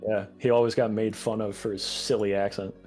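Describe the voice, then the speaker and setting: Animated, swearing man as a podcast guest. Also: lowish voice, fairly quick, soft background music. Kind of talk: casual podcast chat.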